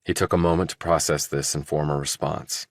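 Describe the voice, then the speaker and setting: A man's voice: a bass voice, fairly even intonation, fairly quick, dry recording, studio quality. A man reading scripted narration from a crime thriller as an audiobook narrator.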